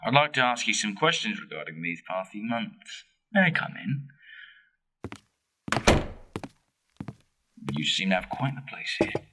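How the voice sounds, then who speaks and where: An actor playing a hesitant but persistent detective in an amateur radio play, with a husky voice. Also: male voice, on the low side, sing-song, brisk, heavy background noise. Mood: awkward, sympathetic. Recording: distant microphone with faint music.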